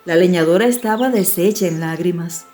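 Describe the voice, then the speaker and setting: Mellow woman narrating a fairy tale. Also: lowish voice, fairly quick, faint music behind. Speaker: audiobook storyteller.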